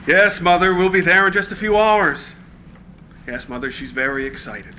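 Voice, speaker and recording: High, bright dutiful young man, acted. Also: animated, normal speed. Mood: patient, dutiful. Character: dutiful young man talking to his mother. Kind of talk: screenplay table reading. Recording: faint background room noise.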